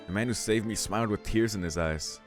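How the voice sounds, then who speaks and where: Male let's play host: low voice, dramatic story narration, faint music behind. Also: fairly quick. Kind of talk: dramatic reading of visual novel narration.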